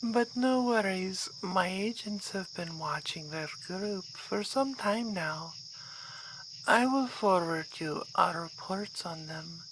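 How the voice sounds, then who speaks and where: A woman's voice: mid-range voice, slow pace, natural voice. A woman playing an aristocratic vampire baroness in an ASMR roleplay, speaking in a formal, refined, seductive and composed way. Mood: composed, scheming. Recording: clear night insect noise behind voice.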